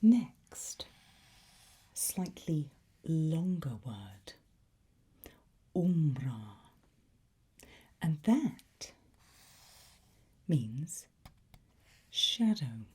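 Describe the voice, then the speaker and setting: A woman doing an ASMR teacher roleplay in a breathy whisper, giving slow, deliberate, coaxing instruction with pauses. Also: low-pitched, big pitch swings, mellow. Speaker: ASMR performer playing a language teacher.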